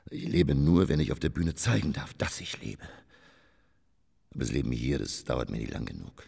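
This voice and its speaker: An actor in a radio drama playing a melancholy, self-absorbed stage actor who declaims and swings between whispers and outbursts. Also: male voice, rumbling low, big pitch swings, normal speed, vocal fry, darkish tone, breathy edge, full character voice. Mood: musing, theatrical. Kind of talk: German radio drama monologue.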